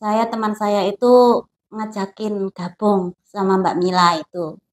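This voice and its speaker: A woman from East Java answering interview questions with short, plain replies and soft affirmations. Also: mid-range voice, flat delivery, measured pace. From East Java, Indonesia. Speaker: guest, hypnosis alumna.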